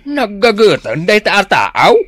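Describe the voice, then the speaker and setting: Lively Ilocano village husband. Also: male voice, high voice, measured pace, exaggerated voice. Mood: easygoing. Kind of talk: Ilocano radio comedy-drama acting.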